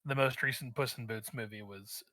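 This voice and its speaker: A man's voice: medium pitch, normal speed, bright, forceful tone, no echo. A man chatting casually as a podcast guest, feeling his way through his thoughts and wandering off on tangents. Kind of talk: casual chat about movies.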